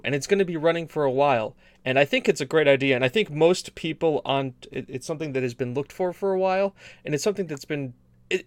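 A man's voice: medium pitch, no room sound. A man co-hosting a casual gaming podcast, chatting in a rambling, joking way with repeated and restarted phrases. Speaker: podcast co-host.

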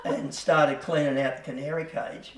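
Older bloke spinning a long yarn, kinda high voice, echoey room, sitting back from the mic. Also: male voice, fairly even intonation, moderate pace, a bit bright, recorded from a distance. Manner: rambling yarn-teller with slang and laughs. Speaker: podcast guest. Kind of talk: casual storytelling. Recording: roomy, from off the mic.

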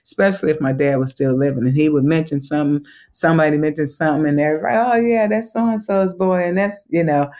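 A man's voice: fairly high pitch, a little fast, hardly any pauses, darkish tone. A man from Chicago with a Chicago accent carrying a Southern twang, a museum education director speaking as an interview guest, thoughtful and enthusiastic, laughing easily. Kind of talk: conversational interview.

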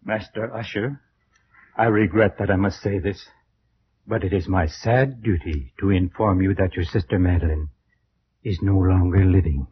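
A reserved family physician, played by a male radio actor, speaking in a low, sombre voice. He is formal, deferential and guarded with every word. The vintage radio recording is muffled with faint noise. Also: normal speed, natural voice. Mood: solemn. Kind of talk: 1940s-50s radio drama acting.

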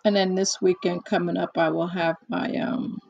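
A hoarse woman in casual one-on-one chat, talking haltingly with many fillers and restarts. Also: fairly low pitch, flat delivery, darkish tone, no room sound, muffled recording. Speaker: chat partner.

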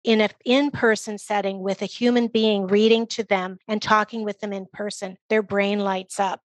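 A woman speaking as a guest expert, giving measured, articulate explanations in an interview with emphasis on key phrases. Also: mid-range voice, little pitch movement, no echo, poor audio. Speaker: guest expert, early literacy organization leader.

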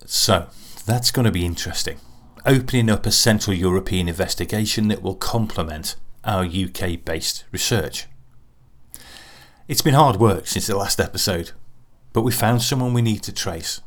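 Male host, lowish voice, earnest and measured. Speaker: podcast host and narrator. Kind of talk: interview and closing narration.

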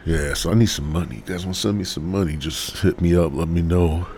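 A man's voice: sits low, fairly even intonation, fairly quick, a little mellow, slight background noise. A congested man, hosting a rambling, profane podcast monologue. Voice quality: raspy. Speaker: podcast host.

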